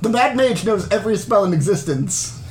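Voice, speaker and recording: Lively brisk woman game master. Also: medium pitch, a little hiss or hum, low-quality recording. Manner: brisk, dry, counting out scores. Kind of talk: running a tabletop game.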